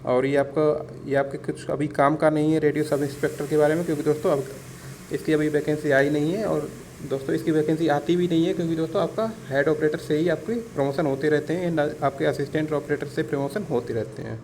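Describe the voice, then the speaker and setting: A man's voice: fairly high pitch, steady tone, brisk, a little mellow, rough recording. A man giving an informal explainer lesson as an instructor, repeating himself, addressing listeners as friends and mixing in English words. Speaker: exam-prep instructor. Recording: clear background noise.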